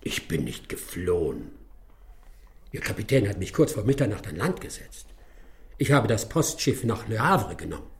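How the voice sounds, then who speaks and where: A man acting a smug, courteous English gentleman detective in a German radio drama, dryly ironic and savouring each phrase. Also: on the low side, big pitch swings, normal speed, creaky. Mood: smug, amused.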